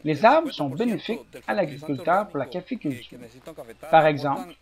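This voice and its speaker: Man reading a steady voice-over. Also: a little high, moderate pace. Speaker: voice-over translator. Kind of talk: read voice-over.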